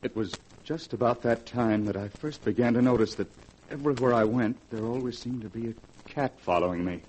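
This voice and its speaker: An actor playing a haunted, bitter husband in a grave, dramatic 1940s radio horror style. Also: male voice, mid-range voice, a little fast, darkish tone, lightly acted, rough recording. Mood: haunted, brooding. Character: haunted, bitter husband, desperate to escape his wife. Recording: hissy vintage 1940s radio recording.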